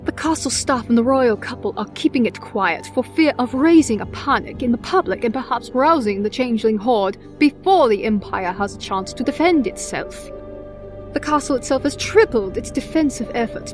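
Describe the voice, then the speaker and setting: A woman plays an aloof pony official in a cartoon-based audio drama. The voice is fairly high, dry and formal, all business, with faint music underneath. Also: lightly acted. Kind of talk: scripted audio drama acting.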